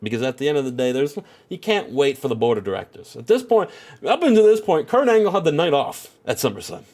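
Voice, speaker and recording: A man's voice: fairly high pitch, very animated, fast, a little mellow. A man with a stuffed-up nose giving casual, rambling commentary on a wrestling game, full of filler phrases. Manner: rambling, heavy on "you know" and "at the end of the day".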